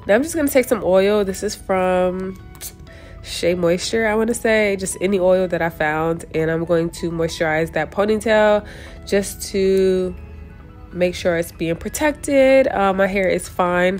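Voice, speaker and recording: A woman's voice: fairly low pitch, unhurried, darkish tone, faint music behind. A young woman with a stuffy, congested voice, casually walking viewers through a hair tutorial while she works. She chats loosely and often stops to correct herself. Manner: chatty, talks while working, often restarts and corrects herself. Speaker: hair vlogger.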